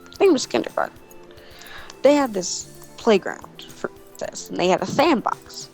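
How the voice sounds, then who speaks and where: A woman's voice: a little high, huge pitch swings, moderate pace, a little mellow, faint music behind. Giggly young woman telling rambling stories. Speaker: video co-host. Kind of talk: casual storytelling chat over a game.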